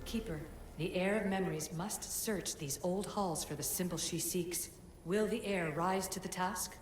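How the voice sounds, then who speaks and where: Woman as ancient oracle-like spirit, low-set female voice in long reverb, solemn and commanding, formal video game delivery. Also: background noise, close to an everyday voice. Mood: solemn, testing. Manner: oracular, measured, formal. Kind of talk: dramatic game cutscene acting. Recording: echoing long reverb, music behind.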